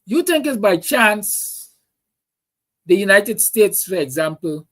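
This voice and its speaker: A man's voice: sits high, big pitch swings, slow pace, fairly bright tone. A man with a Guyanese accent hosting a talk show, delivering an emphatic political monologue full of repetition and rhetorical questions.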